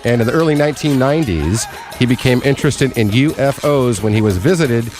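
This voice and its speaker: A man's voice: mid-range voice, lively intonation, a little fast, darkish tone. A man co-hosting a radio show, playful, with casual banter and introductions. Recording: music bed under the voice. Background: music.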